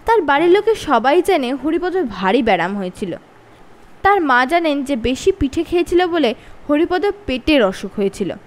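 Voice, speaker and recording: A woman storyteller with a Bengali accent reads a funny children's tale aloud. Her voice sits high and moves all over the place. She changes her delivery for each character. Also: normal speed. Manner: expressive, shifts pitch for each character.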